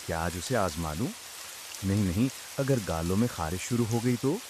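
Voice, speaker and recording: Comic cartoon husband: hapless young villager, swooping intonation, faint rain and hiss. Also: male voice, low-pitched, expressive, moderate pace. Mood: curious, tempted. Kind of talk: comic cartoon story dialogue.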